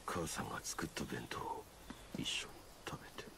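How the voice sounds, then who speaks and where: A man voicing a brooding, stoic, haunted young fighter in a game cutscene, hushed, terse and somber. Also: rumbling low, normal speed, vocal fry, whispered. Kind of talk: Japanese video game cutscene acting.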